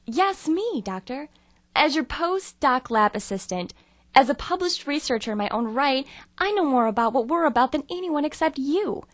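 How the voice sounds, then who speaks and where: Eager, higher-voiced female lab assistant. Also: animated, moderate pace, dry recording, studio quality. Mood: determined. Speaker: actor. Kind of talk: satirical sci-fi audio drama acting.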